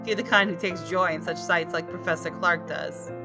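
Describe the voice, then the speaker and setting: A woman, a gaming streamer reading visual novel narration aloud in an expressive, dramatic way. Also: on the low side, brisk. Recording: music behind the voice. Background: game music.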